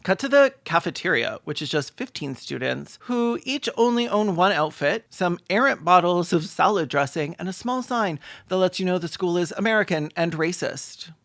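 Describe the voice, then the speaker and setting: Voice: mid-range voice, animated, moderate pace, few pauses, fairly bright tone, no room sound, clean studio sound. A video commentator giving sarcastic, exaggerated comedic reactions with casual swearing.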